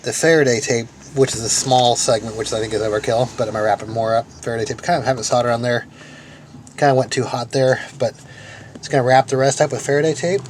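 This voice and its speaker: A man giving a casual hands-on demonstration, thinking aloud in a hesitant, self-doubting way as he works. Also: mid-range voice. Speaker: DIY car radio tinkerer narrating repair video. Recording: clear background noise, vehicle ambience. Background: vehicle ambience and noise.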